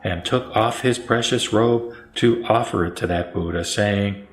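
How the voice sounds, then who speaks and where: A man reciting scripture as a scripted narration, with measured, solemn phrasing. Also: lowish voice. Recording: roomy echo, music underneath. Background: background music.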